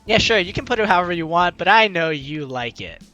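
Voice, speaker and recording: A young adult host doing casual, playful game commentary and theatrically reading the dialogue aloud in an excitable way. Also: medium pitch, fairly quick, dull audio. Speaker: let's play host. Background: faint game music.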